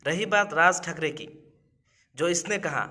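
A man's voice: a little high, a little slow, bright, forceful tone. A man in his thirties giving emphatic commentary to camera in a small room, with rhetorical questions. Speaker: video commentator. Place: small indoor room with brick wall.

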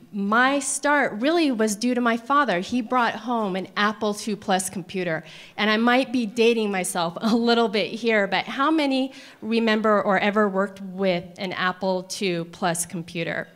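A woman in her thirties speaks at a lectern in a big ballroom and tells her career story in a friendly, excited way. Her voice sits somewhat high and sounds casual rather than scripted. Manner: enthusiastic, conversational. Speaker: keynote speaker. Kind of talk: personal career talk. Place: large hotel ballroom stage. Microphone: lectern microphone.

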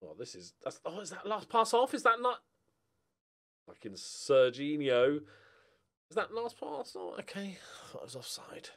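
A man's voice: a little high, sing-song, unhurried, creaky, long pauses. A middle-aged British man giving casual, rambling, jokey gameplay commentary, thinking aloud as he swings between muttering and exclaiming. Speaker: gaming streamer playing a football management game.

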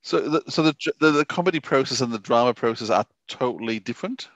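A middle-aged man of medium pitch asks questions in an easy interview style. The video-call sound is dull and dry. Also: expressive, fast talker, dry recording. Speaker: podcast host, interviewer.

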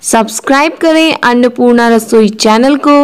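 A woman's voice: on the high side, moderate pace, smooth. A woman making an upbeat promotional call to subscribe at the end of a channel video. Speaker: channel outro announcer.

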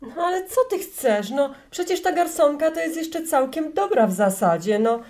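A woman's voice: sits high, lively intonation, moderate pace, a little mellow. An actress playing a timid, self-sacrificing grandmother in her forties in an audio play, hesitant and apologetic. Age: middle-aged.

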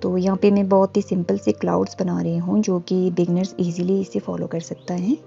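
Gravelly, mellow-voiced woman teaching painting. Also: fairly low pitch, dull audio, soft background music. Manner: calm and instructive, with frequent pauses and fillers. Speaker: art teacher. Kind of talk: step-by-step painting tutorial voice-over. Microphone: voice-over, camera on hands and canvas.

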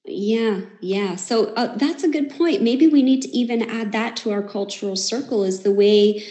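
A woman's voice: fairly high pitch, normal speed, warm tone. An American woman hosting a casual online workshop discussion, chatty and encouraging, over a roomy video call. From United States. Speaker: workshop host.

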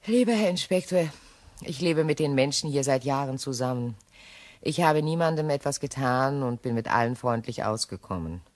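A low-voiced, anxious English widow. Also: female voice, lively intonation, moderate pace, a little mellow. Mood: composed, guarded. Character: anxious, composed recently widowed English shop owner. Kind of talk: German crime radio play acting.

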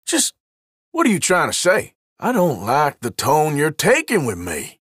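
An actor playing an irritable, wary Old West outlaw in a video game, with a rural American drawl and a folksy, sardonic, defensive manner. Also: male voice, fairly high pitch, huge pitch swings, unhurried, a bit bright, husky, no echo, studio quality, exaggerated voice. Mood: guarded, evasive. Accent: American, rural Western drawl. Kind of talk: game voice lines, short barks.

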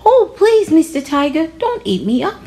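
A woman's voice: very animated, normal speed, mellow. A woman voicing a polite little boy in a children's storybook, speaking in earnest pleas. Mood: pleading. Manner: high, earnest pleading. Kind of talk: storybook read-aloud for children.

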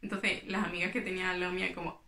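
A woman's voice: fairly low pitch, level tone, rapid-fire, creaky, sharp tone, reverberant. A young woman from Spain chatting casually to camera as a knitting vlogger, explaining as she handles her work. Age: young adult. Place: small room in front of yarn shelves. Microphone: camera in front, at table distance.

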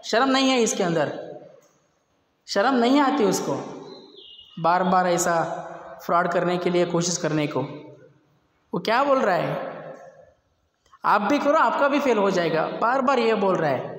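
A man's voice: high-pitched, animated, measured pace, long pauses, a bit bright. A man who is not a native Hindi speaker, earnestly explaining a recorded phone call and pleading with viewers to listen. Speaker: channel host commenting on call recording. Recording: echoey room with long reverb.

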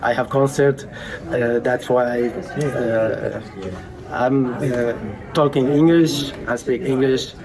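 A young man with a Kurdish accent, a pop singer guest at an event, speaking halting broken English with many fillers. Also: medium pitch, fairly even intonation, a crowd in the background, rough recording. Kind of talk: red-carpet interview. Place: crowded event hall, in front of a banner.

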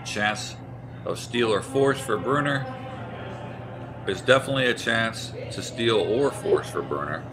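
Slow, low-voiced male curling analyst. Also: animated, over music. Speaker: color commentator. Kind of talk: sports commentary.

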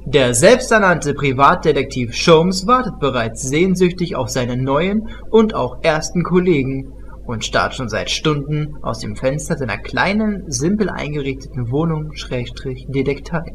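A man's voice: fairly high pitch, expressive, measured pace. A man narrating a scripted comedy audio drama as its storyteller. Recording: noticeable background noise.

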